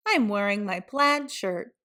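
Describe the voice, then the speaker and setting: A woman's voice: mid-range voice, lively intonation, unhurried, pushed and bright. A woman voicing a stubborn, pouty young girl in a children's storybook. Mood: proud. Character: stubborn, pouty young girl who knows her mind. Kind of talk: children's storybook read-aloud.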